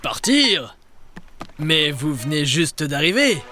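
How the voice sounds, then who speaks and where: An actor playing a spooky but welcoming ghost ship captain in a children's cartoon, speaking with theatrical hospitality. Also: male voice, fairly high pitch, sing-song, takes it slowly, sharp tone, soft background music, heavily acted. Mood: eerie, teasing.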